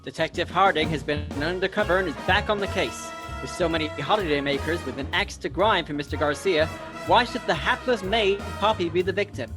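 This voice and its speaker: Guy doing dramatic radio serial announcer, highish voice, music under it. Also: fairly quick, natural voice. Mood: suspenseful. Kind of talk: dramatic scripted serial trailer narration.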